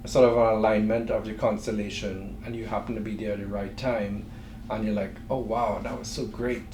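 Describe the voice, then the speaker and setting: A middle-aged man with a Trinidadian accent, a guest speaking in a thoughtful, measured way with frequent pauses. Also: fairly low pitch, level tone. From Trinidad and Tobago. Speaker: guest entrepreneur. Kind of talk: interview conversation. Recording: echoey room with faint noise.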